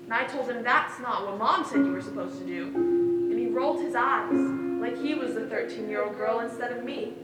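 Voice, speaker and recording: An actress plays a young girl recounting a troubling day on stage. Her voice is high and childlike, recorded from a distance in a very echoey space with loud music behind. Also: expressive, normal speed, close to an everyday voice. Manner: plain, childlike storytelling. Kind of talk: theatrical stage monologue. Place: stage in a hall, one-act play performance.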